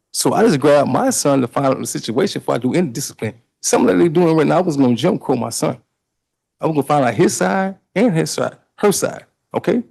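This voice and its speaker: Gravelly, husky man testifying defensively. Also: on the high side, a little mellow. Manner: emphatic, defensive, rushed and run-on answers. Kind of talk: courtroom testimony under cross-examination. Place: courtroom.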